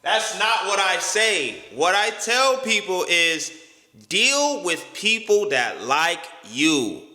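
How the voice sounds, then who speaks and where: Animated high-pitched man in an echoey room. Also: slow pace. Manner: animated, incredulous, slangy, pitch jumps high when protesting. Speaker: host reacting to a video. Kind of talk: casual reaction commentary.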